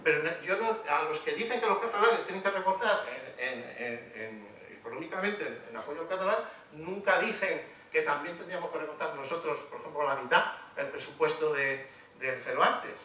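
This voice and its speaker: A man's voice: a little high, expressive, fairly quick, pushed and bright. A middle-aged man giving a university lecture, speaking ironically with many hesitations and restarts. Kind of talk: public lecture. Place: lecture hall. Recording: roomy echo from a distant mic with faint noise.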